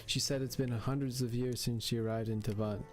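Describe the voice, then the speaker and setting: A male gaming streamer with a medium-pitched voice. He reads along and comments in a flat, even tone, with faint game music underneath. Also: fairly quick. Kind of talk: let's play livestream, reading dialogue and commenting.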